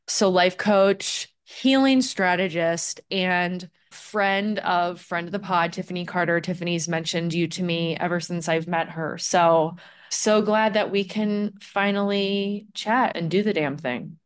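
A woman's voice: fairly low pitch, measured pace, clean tone. Brash, swearing woman podcast host. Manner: brash, swears freely, jokey. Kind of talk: casual profane interview chat.

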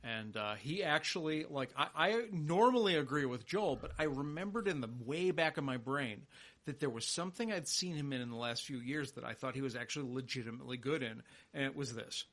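A man's voice: mid-range voice, animated, a little fast, fairly bright tone. A man in casual podcast chat about movies, rambling and searching for words. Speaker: podcast co-host.

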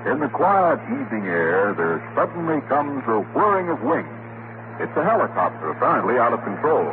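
Dramatic, animated radio narrator man. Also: mid-range voice, a little fast, vocal fry, background noise. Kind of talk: 1940s radio scripted narration. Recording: tinny vintage radio, loud music.

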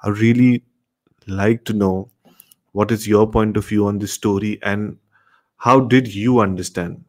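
A man's voice: fairly low pitch, steady tone, a little slow. A man hosting a live show and speaking directly to the audience. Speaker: host of a horror radio show.

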